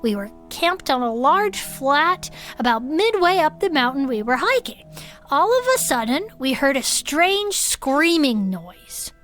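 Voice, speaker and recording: A woman playing an eager, chatty turtle-folk podcast host in a comedic audio drama, talking in an informal, halting way. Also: high-pitched, sing-song, fairly bright tone, soft background music, heavily acted. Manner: informal, halting, checks in often. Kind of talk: conversational comedic audio fiction.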